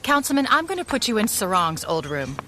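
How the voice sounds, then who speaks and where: An actress playing a capable, caring mother and ally in a pulp audio drama, calm, reassuring and matter-of-fact. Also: medium pitch, brisk, a little hiss or hum.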